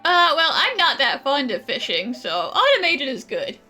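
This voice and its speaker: A woman's voice: high voice, wildly swooping pitch, normal speed, bright, forceful tone. A chatty woman doing casual, improvised commentary and replies during a video game playthrough. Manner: chatty, laughs easily. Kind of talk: casual improvised let's play dialogue and commentary. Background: game music.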